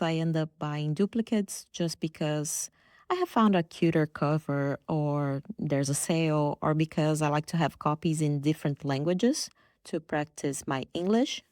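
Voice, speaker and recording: Low-voiced woman hosting a podcast. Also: a little mellow, no room sound, clean studio sound. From Brazil. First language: Brazilian Portuguese. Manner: playful, self-deprecating storytelling. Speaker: podcast host. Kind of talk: reflective personal monologue.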